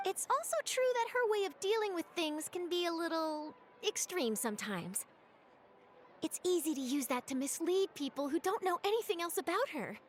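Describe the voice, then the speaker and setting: An earnest, high-voiced young journalist. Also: female voice, sing-song, normal speed, a little hiss or hum. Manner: bright, earnest and articulate. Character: eager young female journalist, principled and earnest. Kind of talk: video game voice acting. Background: game music.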